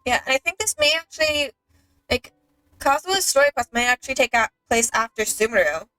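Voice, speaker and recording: A woman's voice: fairly high pitch, sing-song, fairly quick, pushed and bright, soft background music, poor audio. A young adult woman chatting casually over a game, eagerly explaining details as a co-host. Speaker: gaming co-host. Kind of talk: casual gameplay chat.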